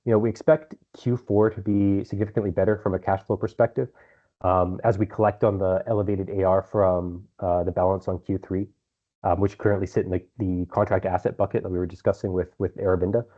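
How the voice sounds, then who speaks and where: A man speaking as a company executive, answering investor questions on an earnings call in measured phrases with some repeated words. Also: sits low, little pitch movement. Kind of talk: earnings call answers, semi-prepared business talk. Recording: dull, band-limited conference call audio.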